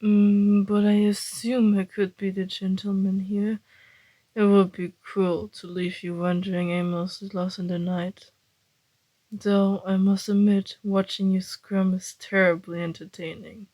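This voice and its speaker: Woman voicing a breathy, slow-talking, teasing male vampire. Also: medium pitch, level tone, mellow, no echo, natural voice, rough recording. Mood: amused, tempted. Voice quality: breathy, half-whispered. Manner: slow, deliberate, flirtatious teasing. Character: suave, teasing male vampire, predatory yet intrigued. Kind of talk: ASMR roleplay monologue.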